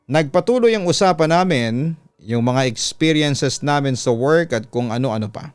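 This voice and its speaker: Filipino guy radio host reading out a letter story, clean smooth voice, dry close mic, soft music behind. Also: mid-range voice, animated, normal speed, no room sound. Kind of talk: dramatic reading of a listener's letter. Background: background music.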